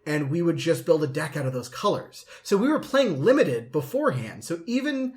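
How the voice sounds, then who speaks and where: A man's voice: on the high side, sing-song, moderate pace. A man in his late twenties chatting casually as a podcast host, telling rambling anecdotes. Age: late 20s.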